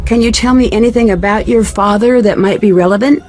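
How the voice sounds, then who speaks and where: A woman playing an inquisitive, earnest young detective in a video game, speaking politely and clearly. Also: medium pitch, a little fast, a little mellow, a little hiss or hum, close to an everyday voice.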